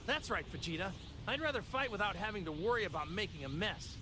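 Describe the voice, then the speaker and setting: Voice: mid-range voice, big pitch swings, quick pace, noisy background, close to an everyday voice. An actor playing a cheerful, naive martial-arts hero in an anime video game, folksy, boyish and eager. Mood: eager, easygoing. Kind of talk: English anime game dub acting. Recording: music behind.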